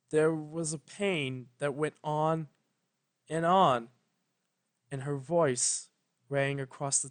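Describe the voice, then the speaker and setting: A narrator reading a dramatic story in a measured, solemn manner, pausing between phrases. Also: mid-range voice, dry recording. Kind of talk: scripted dramatic fan fiction narration.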